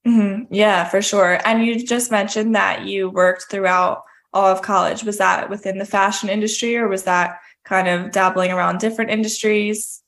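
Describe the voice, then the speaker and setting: A woman's voice: medium pitch, fairly even intonation, moderate pace, hardly any pauses, a bit bright. A woman hosting an interview podcast, greeting her guest and asking him about his background in a friendly way. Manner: friendly, asks questions in a list. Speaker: podcast host. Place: New York.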